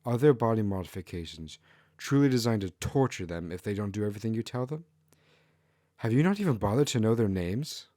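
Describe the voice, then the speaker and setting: Man voicing a righteous, low-voiced kitsune friar. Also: expressive, a little fast, mellow, no echo, studio quality, natural voice. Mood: indignant. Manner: earnest, morally indignant.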